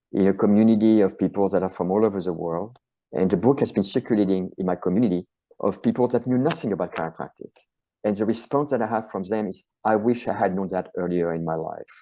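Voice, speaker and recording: French-accented middle-aged guy, low mellow voice, talks with passion, piles up lists. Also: low-quality recording. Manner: passionate, repeats phrases in lists. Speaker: chiropractor and author, guest. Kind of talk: earnest interview answers.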